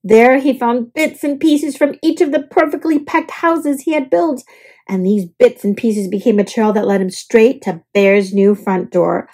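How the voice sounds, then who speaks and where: A woman reading a children's picture book aloud in an expressive, scripted storytelling style. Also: on the high side, a little slow. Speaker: storybook reader.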